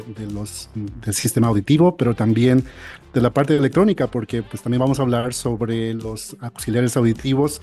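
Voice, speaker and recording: American man with a soft, dark voice. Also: mid-range voice. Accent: American English. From United States. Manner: halting, frequent restarts and fillers. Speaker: audiologist guest. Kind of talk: interview, explaining.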